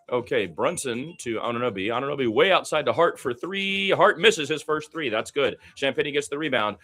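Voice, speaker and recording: Bright, forceful man calling basketball play-by-play. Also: medium pitch. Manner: excitable in fast play, conversational between plays. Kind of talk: live radio play-by-play commentary.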